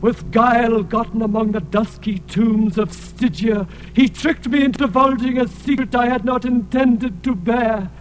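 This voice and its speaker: A man's voice: very high-pitched, moderate pace, hardly any pauses, low-quality recording. A man playing an ancient, tormented winged alien in a fantasy audio drama, speaking in grandiose, archaic laments. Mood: mournful. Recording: music laid under the voice.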